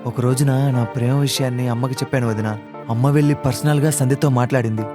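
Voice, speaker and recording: A man playing an earnest, lovesick young brother-in-law in an animated family story, with music behind. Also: mid-range voice, fast talker, natural voice. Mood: earnest, anxious.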